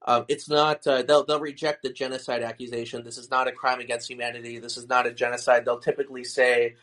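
Man, correspondent, podcast interview, halting thoughtful speech, mid pitch. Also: flat delivery, fairly bright tone. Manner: thoughtful, many fillers and restarts. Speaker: foreign correspondent and author.

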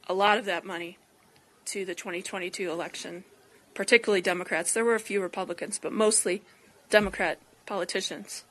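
A woman's voice: mid-range voice, fairly bright tone. A woman hosting a podcast, discussing politics in conversation. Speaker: podcast host.

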